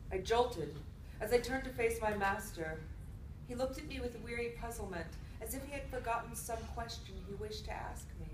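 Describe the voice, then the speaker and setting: A woman's voice: a little high, normal speed, natural voice. A woman performing a troubled, yearning young woman who narrates her own story in staged radio theatre. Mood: startled, brooding. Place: indoor convention performance before microphones. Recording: roomy, noisy live stage, distant mic.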